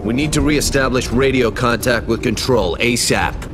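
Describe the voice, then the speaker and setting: A man playing a brisk, by-the-book army squad leader in a video game, commanding and clipped. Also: medium pitch, background noise, close to an everyday voice. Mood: businesslike. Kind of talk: video game cutscene acting.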